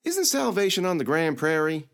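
A man voicing a defiant, sharp-tongued young girl with one arm, speaking in a cheeky, needling way. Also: a little high, very animated, normal speed, pitched above the performer's own voice.

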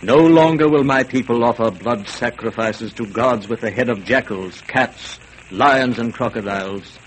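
A man playing a gentle, frail, idealistic king who speaks earnestly. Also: medium pitch, moderate pace, slight background noise, natural voice, low-quality recording.

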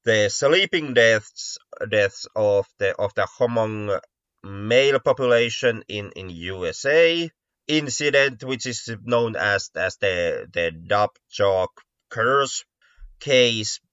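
A man's voice: fairly low pitch, lively intonation, takes it slowly, fairly bright tone. A man co-hosting a casual podcast discussion, stammering and often repeating words mid-sentence. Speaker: podcast co-host. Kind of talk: casual discussion of mystery stories. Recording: dull, lo-fi, roomy echo.

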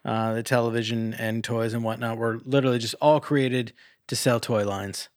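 A man's voice: mid-range voice, little pitch movement, normal speed, no room sound. Middle-aged man in dry, casual chat. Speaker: podcast host.

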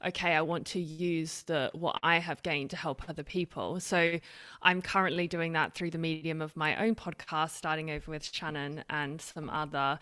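A woman's voice: sits low, steady tone, normal speed, sharp tone. A woman in her thirties with a mixed Australian and British accent, a guest telling her story openly and reflectively in an interview. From Australia and Britain. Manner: open, reflective storytelling with frequent restarts. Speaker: podcast guest. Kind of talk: conversational interview.

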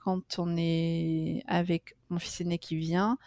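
A woman's voice: on the low side, little pitch movement, takes it slowly, dark tone, no echo, studio quality. A middle-aged French woman, a guest in a casual interview, talking chattily with many fillers and restarts.

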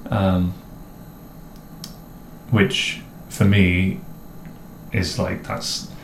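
Low, gravelly-voiced English man. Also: drawn-out words. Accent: mixed English, somewhat posh. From English Midlands. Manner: relaxed and rambling, with self-mocking jokes. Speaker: co-host. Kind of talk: casual chat. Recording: echoey room with faint noise.

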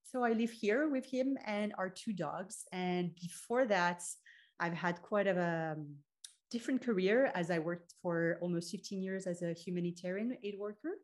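A woman's voice: lowish voice, moderate pace. A middle-aged woman from France with a French accent, a love coach chatting earnestly as a guest and explaining her ideas with frequent "you know". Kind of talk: casual interview chat.

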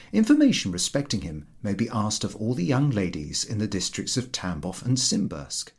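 A man reading a literary story aloud as an audiobook narrator, with an expressive storytelling delivery. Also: on the low side, breathy edge. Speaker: audiobook reader.

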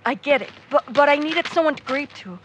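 Earnest frustrated young woman, sweary and defensive, high voice, audio drama, faint vehicle noise. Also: expressive, a little fast, lo-fi sound. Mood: frustrated, grieving. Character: devout, defensive young woman, grieving her missing friend. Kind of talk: naturalistic audio drama acting.

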